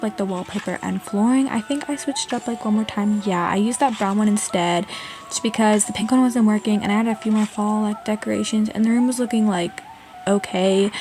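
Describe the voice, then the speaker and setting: High-pitched woman chatting over music. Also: darkish tone. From Canada. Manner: informal, indecisive, frequent "like" and "honestly". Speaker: video creator narrating a game build. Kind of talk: casual, chatty gameplay voice-over. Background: background music.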